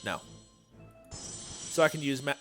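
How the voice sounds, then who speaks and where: A man's voice: mid-range voice, lively intonation, takes it slowly, pushed and bright, soft background music. A man streaming a video game, chatting casually with his viewers in a self-deprecating way. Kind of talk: casual livestream chat while playing.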